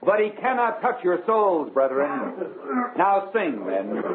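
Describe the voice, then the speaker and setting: An actor playing a pious, courteous but firm mission preacher in an old-time radio drama. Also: male voice, high-pitched, very animated, takes it slowly, narrow, tinny audio, crowd noise, full character voice. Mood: fervent exhorting. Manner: exhorting, sermonizing, polite. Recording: old thin band-limited radio recording.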